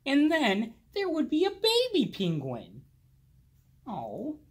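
A man reading a children's picture book aloud expressively, drawing out words and pausing. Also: very high-pitched. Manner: slow, animated, with drawn-out words and pauses. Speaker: children's storybook reader. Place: indoors, library with bookshelves.

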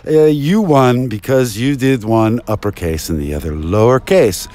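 A man's voice: mid-range voice, expressive, unhurried, dark tone. A man delivering a rambling, taunting monologue like a mock phone call, theatrically drawing out and repeating his words.

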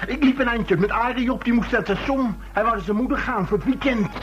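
Radio play role: defensive, stammering young man, high-pitched, dull recording. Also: fast talker, faint music behind. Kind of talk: radio drama acting.

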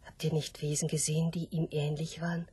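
A woman voicing a hopeful, philosophical sow in a literary radio play, speaking in a measured, breathy, husky voice. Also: low voice, level tone, warm tone, soft background music, close to an everyday voice. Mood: hopeful, pondering. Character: hopeful philosophical sow awaiting her disappearance. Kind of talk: literary radio drama acting.

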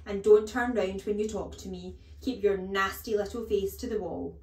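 A woman's voice: mid-range voice, steady tone, moderate pace, a little mellow, close to an everyday voice. Woman acting a bellowing tyrant headmistress, echoey room. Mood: scornful, bullying. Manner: barking, bellowing commands, contemptuous. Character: huge tyrannical headmistress, scornful, bullying, barking insults. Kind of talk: children's storybook read-aloud.

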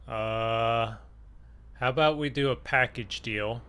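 A man's voice: mid-range voice, takes it slowly, a bit bright, smooth. A laid-back man in casual gaming role-play as a farmer, talking hesitantly. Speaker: host, gamer role-playing as farmer buyer.

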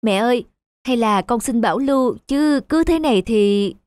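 Earnest high-voiced teenage boy, acted by a woman. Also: animated, moderate pace, darkish tone, clean tone, no echo, studio quality, lightly acted. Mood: worried, caring. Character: anxious, devoted teenage schoolboy son. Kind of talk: animated moral-tale dubbing.

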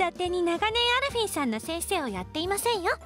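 High-voiced, kindly magic teacher. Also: female voice, big pitch swings, fast talker, faint music behind, heavily acted. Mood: reassuring. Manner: polite, gently instructive. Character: kind, devoted young female magic teacher. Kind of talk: anime-style radio drama acting.

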